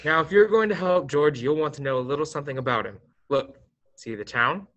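A man's voice: a little high, brisk, fairly bright tone, close to an everyday voice. A teenage boy playing an authoritative, businesslike senior angel in a staged radio play. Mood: calm, instructive.

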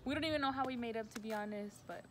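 A woman's voice: a little high, rapid-fire. A woman in her twenties in casual, slangy vlog chat, slipping into Spanish, recorded outdoors. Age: 20s. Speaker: vlog co-host. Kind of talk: casual chat answering questions. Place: outdoors by a parking area. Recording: faint outdoor ambient noise.

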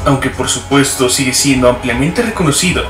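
A man's voice: mid-range voice, a little fast. A man reading a scripted documentary narration in a grave, formal manner. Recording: roomy, distant, played back with music.

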